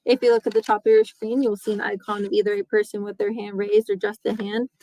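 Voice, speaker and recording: A woman facilitating a webinar, reading a scripted introduction in a steady, procedural way. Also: mid-range voice, fast talker, no room sound, poor audio. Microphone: webinar microphone.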